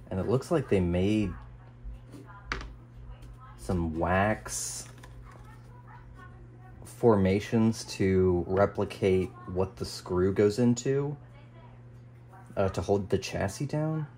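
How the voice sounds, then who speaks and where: An American man narrating his hobby restoration work casually and unscripted, musing out loud as he goes. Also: low-pitched, takes it slowly, pauses often. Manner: musing, thinking aloud. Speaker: hobbyist radio restorer presenting a video. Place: small indoor home workshop. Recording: faint room noise.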